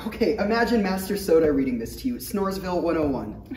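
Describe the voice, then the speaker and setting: A man's voice: a little high, sing-song, quick pace, a little mellow. A man playing an earnest, self-doubting young apprentice knight, hesitant and awkward, at a table read. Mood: casual. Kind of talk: table read of a parody script. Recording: echoey room, distant mic, faint noise.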